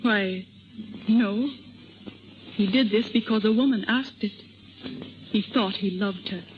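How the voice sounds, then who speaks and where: A woman's voice: medium pitch, slow, faint music behind, lightly acted. An actress playing a proud, earnest young noblewoman with formal, stately diction in a 1930s radio drama. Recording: muffled 1930s radio broadcast.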